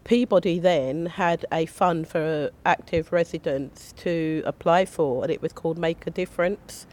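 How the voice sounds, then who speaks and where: A woman recovering from flu, her voice lower than usual, chatting casually as a guest in an outdoor community garden, sharing one small microphone. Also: a little slow, darkish tone. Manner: chatty, rambling, with little laughs. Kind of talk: casual chat. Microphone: sharing one small microphone with the host.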